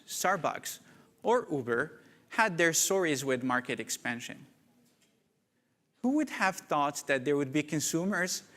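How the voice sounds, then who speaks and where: A man from Morocco giving a prepared keynote talk at a conference, measured and deliberate. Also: fairly high pitch, a bit bright. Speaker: conference speaker. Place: conference hall. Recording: reverberant conference hall.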